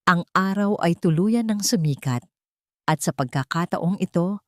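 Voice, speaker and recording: Woman, storyteller, low-pitched voice, dry close recording, scripted dramatic narration, expressive emphasis. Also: no room sound.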